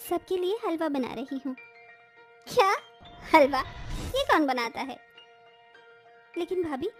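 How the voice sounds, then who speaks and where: Actress as cartoon household woman, high voice, theatrical, exaggerated dramatic delivery, faint music underneath. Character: women of a cartoon family: brides and haughty in-laws. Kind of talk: dramatic Hindi moral-story cartoon dubbing.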